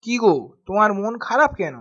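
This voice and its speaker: A man voicing a reassuring, brisk young friend in a cartoon. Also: sits high, animated, a bit bright, slightly rough, heavily acted. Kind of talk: cartoon voice acting. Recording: dull track with music behind.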